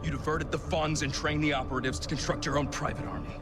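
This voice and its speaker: A man's voice: a little high, little pitch movement, fast talker, over music, lightly acted. An actor playing a tough male marine squad leader in a game cutscene, urgent and accusing. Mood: tense.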